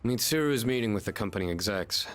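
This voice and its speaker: A man playing a level-headed senior student leader, steady and matter-of-fact, in an anime-style game dub. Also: lowish voice, fast, faint music behind, natural voice.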